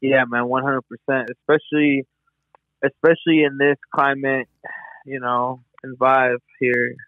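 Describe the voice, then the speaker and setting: A man's voice: medium pitch, level tone, unhurried, dry recording. Young male host on a tinny line. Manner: slangy, says "bro" and "hella" a lot. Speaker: podcast host. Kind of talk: casual conversation. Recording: tinny, dry phone-like line.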